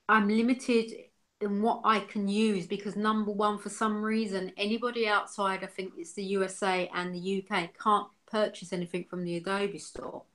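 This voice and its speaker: A woman's voice: medium pitch, a bit bright. A woman from the UK, a designer, talking frankly in a casual interview, full of fillers and restarts. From United Kingdom. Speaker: designer interviewed as software user.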